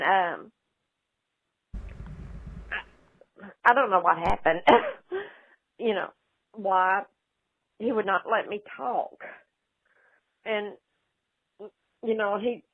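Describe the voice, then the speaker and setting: A woman's voice: medium pitch, husky, no room sound. A woman talking unscripted on the phone, hesitant and often repeating herself. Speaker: prank call recipient. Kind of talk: unscripted phone conversation and voicemail. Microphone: telephone. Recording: tinny, narrow phone line.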